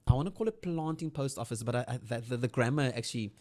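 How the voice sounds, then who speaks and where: Man, forties, South African accent, rapid storyteller, middle-pitched voice. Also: a little mellow. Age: 40s. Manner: fast, storytelling, frequent restarts. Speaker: guest. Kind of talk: casual interview chat.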